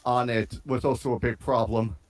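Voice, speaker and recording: A man giving casual critique in a group chat, measured and analytical. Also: medium pitch, steady tone. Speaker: guest reactor.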